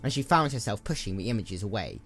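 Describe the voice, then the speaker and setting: A man's voice: fairly low pitch, big pitch swings, fast, fairly bright tone, faint music behind. A man reading scripted fiction as a dramatic story narrator. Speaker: audiobook narrator.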